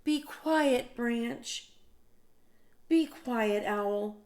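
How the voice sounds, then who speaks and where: A woman's voice: fairly high pitch, extremely slow, darkish tone, voice pushed up. A woman voicing a weary grown male mouse who refuses curtly and wants to sleep. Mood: stern.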